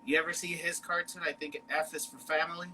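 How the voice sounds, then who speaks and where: A man with a Chicago accent hosting a casual online chat over a video call, laid-back and slangy, with drawn-out fillers. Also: on the high side, little pitch movement, pushed and bright, a little hiss or hum. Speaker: host.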